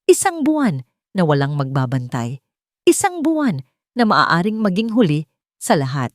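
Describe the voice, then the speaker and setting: A woman's voice: fairly low pitch, sing-song, normal speed, no echo, clean studio sound. A woman with a Filipino accent telling a scripted story as a dramatic narrator.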